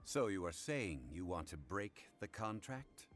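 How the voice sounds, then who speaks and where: A man playing a composed, erudite consultant in a video game, speaking formally with measured, calm authority. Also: low-pitched, faint music behind. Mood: firm, composed.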